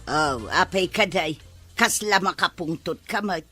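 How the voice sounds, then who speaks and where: A woman's voice: on the low side, measured pace, pushed and bright. A woman acting a fiery, scolding village wife in an Ilocano comedy drama, with an Ilocano accent. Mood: questioning. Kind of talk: Ilocano radio-style comedy drama dialogue. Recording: faint music behind.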